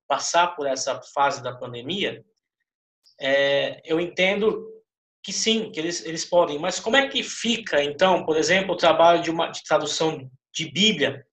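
A man with a Brazilian accent in a casual interview discussion, talking haltingly as he rephrases and poses questions, recorded with a roomy echo. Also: a little high, a bit bright. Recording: roomy, echoing sound.

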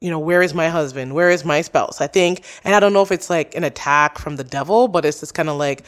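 A woman's voice: low-pitched, brisk. Young adult woman, guest on a podcast chat; casual, filler-heavy, open talk. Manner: chatty, many "like" and "you know" fillers. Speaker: podcast host, interview guest.

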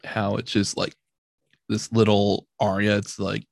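A man's voice: fairly low pitch, flat delivery, measured pace, creaky, dry recording. A man co-hosting a casual podcast, hesitant and full of fillers, laughing often, on a video call. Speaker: podcast co-host. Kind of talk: casual chat. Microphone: computer mic on video call.

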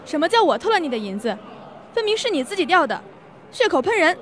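A woman's voice: very high-pitched, big pitch swings, fast. A woman playing a feisty young blind girl in an audio drama, theatrically indignant and playing the victim. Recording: street crowd noise behind.

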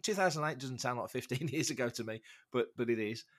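A middle-aged man with a slightly rough, congested voice from a cold, telling anecdotes casually as a podcast guest. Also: medium pitch, fast talker, fairly bright tone. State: getting over a cold, congested. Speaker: podcast guest, fan-site writer and interviewer.

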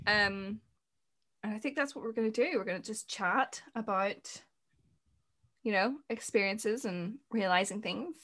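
A woman's voice: mid-range voice, measured pace, a bit bright. A woman in her twenties co-hosting a podcast, chatting in an informal, reflective and agreeable way. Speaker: podcast co-host. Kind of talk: casual chat.

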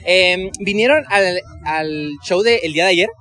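A man's voice: high-pitched, a little slow. A man doing casual, polite street interviews as a podcast host, phrasing his questions a little hesitantly. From Ciudad Juárez, northern Mexico. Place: outdoors in a concert queue. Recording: outdoor recording amid loud crowd chatter.

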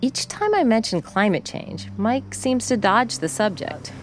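A woman radio reporter narrating a feature and questioning people outdoors in a measured, probing way. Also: a little high, sing-song, slight background noise. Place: outdoors by a flooded park, traffic nearby.

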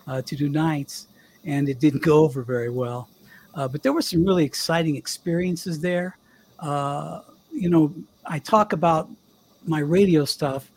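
Older male guest, youthful slightly high voice, husky edge, lively storyteller. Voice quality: young-sounding voice. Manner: chatty, animated storyteller. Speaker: guest, former radio DJ. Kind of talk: casual storytelling interview.

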